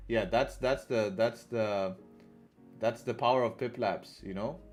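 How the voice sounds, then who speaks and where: A young man from Dubai giving casual, relaxed commentary on a live trading stream, repeating phrases and calling viewers bro and man, into a headset in a small room. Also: fairly low pitch, measured pace, soft background music.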